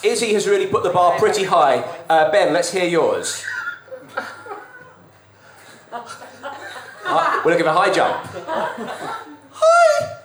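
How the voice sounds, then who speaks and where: A man hosting a comedy panel show, running the rounds in a brisk, mock-stern way in front of a live audience. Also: sits high, huge pitch swings, crowd noise. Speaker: quiz host. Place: stage before a live audience. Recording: live before a loud laughing audience, echoey room.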